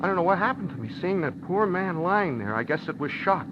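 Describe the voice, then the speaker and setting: Fretful male fugitive, highish voice, melodramatic 1950s radio thriller style, noisy dull recording. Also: big pitch swings, a little fast. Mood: anguished, dazed. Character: guilt-stricken man on the run, anxious, dramatic husband. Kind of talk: 1950s radio drama acting.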